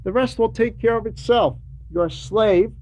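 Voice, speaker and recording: A man giving a homily to a class in a deliberate, didactic way, pausing between short phrases and repeating words for emphasis, on a dull, lo-fi recording. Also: high voice, a little mellow. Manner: didactic, poses rhetorical questions. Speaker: religious teacher reading a translated homily to a class. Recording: dull lo-fi recording with faint noise.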